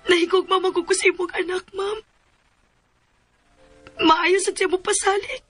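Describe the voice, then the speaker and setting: A high, bright voice for a lovelorn young daughter in a radio melodrama, defiant and full of feeling. Faint music plays behind her. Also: steady tone, a little slow. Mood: pained, defiant. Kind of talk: melodramatic radio drama acting.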